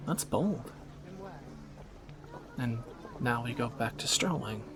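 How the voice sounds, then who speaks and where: A man's voice: mid-range voice, lively intonation, slow, background noise. A man playing a wry, flirtatious thief on the run in a romantic audio drama, speaking in teasing, sardonic banter to a companion. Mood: flustered, teasing.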